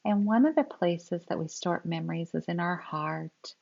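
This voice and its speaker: A woman reading a picture book aloud to young children in an expressive storytelling style, with simple explanatory asides. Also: lowish voice, normal speed, gravelly, muffled recording.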